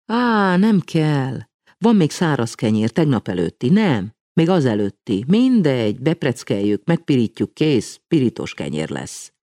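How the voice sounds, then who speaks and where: A man doing a brisk cheeky young woman. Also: mid-range voice, very animated, dark tone, slightly rough, no echo, studio quality, pitched above the performer's own voice. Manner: brisk, teasing.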